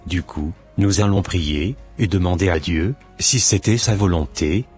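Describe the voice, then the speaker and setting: A man voicing a calm, devout young suitor with a patient, measured manner in a scripted cartoon. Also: deep voice, faint music behind. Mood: calm, hopeful.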